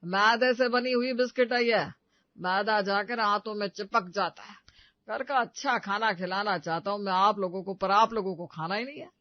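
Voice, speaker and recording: A man acting a high-pitched, blustering family patriarch. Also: normal speed, no room sound, highs cut off, lightly acted. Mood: nagging. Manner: pompous, scolding, indignant. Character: blustering, boastful middle-aged father with a short temper. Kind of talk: Hindi comedy story dramatization.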